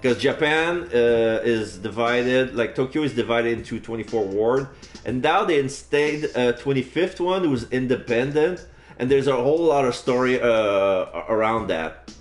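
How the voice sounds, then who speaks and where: A man's voice: medium pitch, moderate pace, roomy sound. A man in his forties from French Canada with a French Canadian accent, chatting casually on a live stream, rambling with lots of fillers and restarts. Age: 40s.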